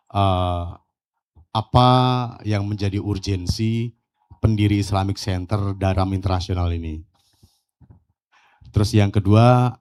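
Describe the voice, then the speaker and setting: A man's voice: on the low side, mellow. A male journalist politely asking long questions at a press conference, hesitating with fillers.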